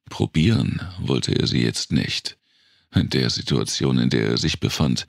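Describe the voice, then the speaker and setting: A man with a deep, rough, gravelly voice reading a dark thriller as dramatic scripted narration. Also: no echo, clean studio sound. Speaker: audiobook narrator.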